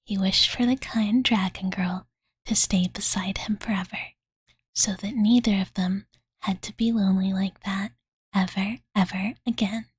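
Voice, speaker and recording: A woman voicing an affectionate young dragon girl in a soft, breathy ASMR whisper, close to the mic, with a casual, cutesy way of talking. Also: medium pitch, steady tone, a little slow, no room sound. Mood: cozy, dreamy. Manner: cozy, cutesy, casual, filler-laden, doting. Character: affectionate, possessive young dragon girl telling bedtime story. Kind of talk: ASMR roleplay. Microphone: close studio condenser mic.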